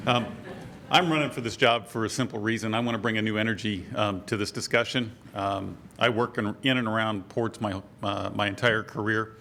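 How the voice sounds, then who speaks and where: Brisk, assertive man at a candidate forum. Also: fairly low pitch, steady tone, gravelly, a bit bright, a little hiss or hum. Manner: brisk, assertive, rushes then slows down. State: scratchy voice from a cold. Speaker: political candidate.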